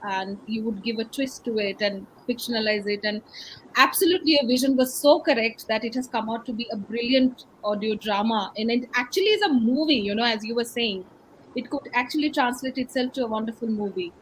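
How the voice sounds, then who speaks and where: A woman with an Indian accent hosting a session and interviewing a guest in a conversational, halting and rambling way. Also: a little high, rough recording. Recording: noticeable background noise.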